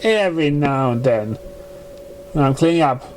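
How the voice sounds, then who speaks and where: A man's voice: medium pitch, expressive, moderate pace, darkish tone, slight background noise, natural voice. A man voicing a casual young male dragon who shrugs off concern in an offhand way, in a read-aloud story. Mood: dismissive.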